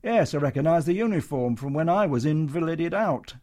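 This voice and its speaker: Male reader voicing a bullying showman, high and loud, hectoring. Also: lively intonation, normal speed, lightly acted. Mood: wheedling. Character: blustering London comedian, troupe leader, bullying then wheedling.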